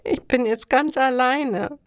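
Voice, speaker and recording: A woman voicing a plaintive, despairing pregnant teenage girl in a slow audio story for language learners. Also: high voice, dry recording, studio quality, voice pushed up. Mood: forlorn.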